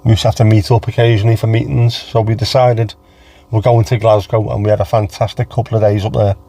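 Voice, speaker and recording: A man's voice: lowish voice, level tone, fast talker, gravelly, mellow. A man with a mild Scouse accent, chatting casually on a livestream and answering viewers by name in a rambling way. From England. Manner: chatty, rambling, reads out chat names and answers them. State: low on energy. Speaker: livestream host.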